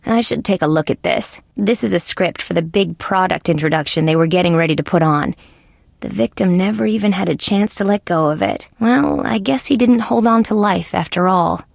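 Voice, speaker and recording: An actress playing a wry, level-headed female insect detective in a scripted video game, with a deadpan, dryly sarcastic manner. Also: lowish voice, normal speed, creaky, no room sound, studio quality. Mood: matter-of-fact, musing. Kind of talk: scripted adventure game voice lines.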